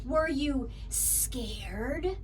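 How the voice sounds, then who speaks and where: A woman's voice: sits high, lively intonation, very slow, recorded from a distance, slight background noise, heavily acted. A woman voicing a proud male storybook monster, courteous and playfully menacing with a fondness for the child. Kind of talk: children's storybook read-aloud.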